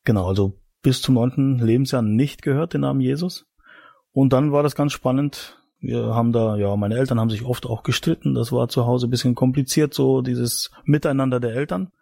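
A man in his forties from eastern Germany tells his story casually as an interview guest. His voice is husky and mellow with plenty of creak, recorded dry and close. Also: medium pitch, fairly quick, no echo. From Mecklenburg-Vorpommern, eastern Germany. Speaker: podcast guest, missionary. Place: studio.

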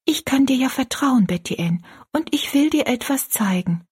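Lady doing a little orphan girl for an audiobook, soft breathy high voice, timid n pleading. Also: normal speed. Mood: secretive, earnest. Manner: fearful, earnest.

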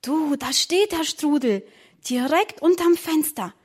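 A woman's voice: sits high, measured pace, voice pushed up. A woman doing a cheerful young schoolboy. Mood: excited. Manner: lively, confident, raised childlike tone.

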